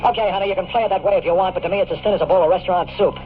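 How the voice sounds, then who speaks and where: A hard-boiled, sardonic male detective, played by a radio actor, talks fast in a slightly high register. His slangy noir delivery is weary and tough. It sounds like a thin, phone-like old radio recording with music behind it. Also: fairly even intonation, natural voice. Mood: pressing, sardonic. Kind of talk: 1950s radio drama acting, noir first-person narration.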